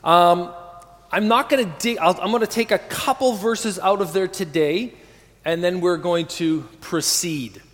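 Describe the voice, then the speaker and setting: A man's voice: sits high, a little slow, fairly bright tone, big-room sound. A man in his forties preaching an earnest sermon as pastor, calling on the congregation to answer. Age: 40s. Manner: earnest, calls for congregation responses.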